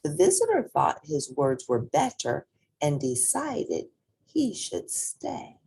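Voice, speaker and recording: A woman reads a children's story aloud with a low, husky voice and a touch of gravel. Her delivery is gentle and unhurried, with expressive swings in intonation and pauses for effect. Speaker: reader of a children's picture book.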